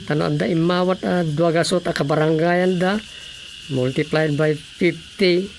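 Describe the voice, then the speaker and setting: A man's voice: a little high, fairly even intonation, moderate pace, vocal fry, slight background noise. An actor playing an earnest, indignant man with a Filipino accent in a radio drama comedy.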